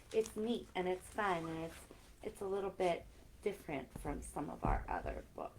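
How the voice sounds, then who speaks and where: A woman with a slightly low voice reads picture books aloud for young children. She takes her time, speaking expressively and chatting between passages as a story time host. The live-stream recording carries some background noise.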